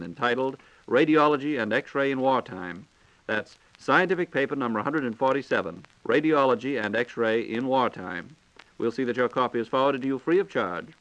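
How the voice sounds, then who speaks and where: A man's voice: mid-range voice, fairly bright tone, low-quality recording. An American man hosting a scripted 1940s radio program, announcing with folksy, courteous patter.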